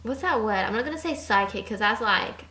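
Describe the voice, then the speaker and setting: A woman's voice: on the high side, expressive, brisk, pushed and bright, roomy sound. An eighteen-year-old woman rambling candidly in an unscripted vlog from her bedroom, swearing freely and trailing off. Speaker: vlogger.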